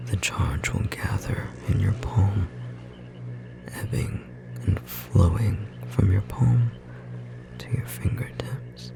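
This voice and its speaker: A performer voicing a confident, tender storm sorcerer partner in a whispered ASMR roleplay, breathy and slightly rough, guiding slowly and soothingly. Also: deep voice, exaggerated voice. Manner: slow, soothing, intimate guiding delivery.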